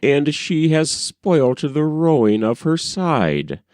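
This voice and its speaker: A man's voice: fairly high pitch, big pitch swings, slow pace, a little mellow, no room sound, studio quality, voice pushed up. A man playing a stately, commanding king of ancient Egypt in formal storybook speech.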